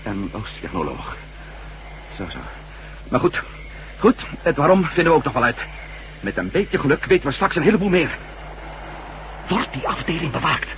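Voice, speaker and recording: An uneasy adventurer in a radio drama, his pitch swinging widely as he muses and hesitates. He repeats himself as he thinks aloud. The old recording carries some background noise. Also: big pitch swings, moderate pace, pauses often, fairly bright tone. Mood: curious, musing. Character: Matt, uneasy adventuring former astronaut and reporter. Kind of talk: Dutch radio drama acting.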